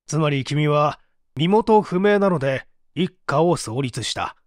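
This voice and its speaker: Man acting a formal, probing prosecutor, higher-pitched voice. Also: expressive, a little slow, dry recording, studio quality. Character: composed, formal male public prosecutor questioning a suspect. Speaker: voice actor reading a radio drama. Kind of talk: dramatized mystery reading.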